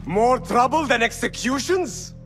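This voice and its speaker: An actor playing a ruthless, defiant rebel leader in a video game, commanding, intense and menacing. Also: male voice, very high voice, animated, a little slow, creaky, a bit bright, faint music behind, heavily acted. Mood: angry, impassioned. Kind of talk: video game cutscene acting.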